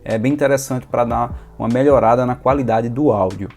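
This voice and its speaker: A man's voice: mid-range voice, a little fast, gravelly, a little mellow. A man with a Brazilian Portuguese accent giving an informal, organized product review in a conversational, explanatory way. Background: background music.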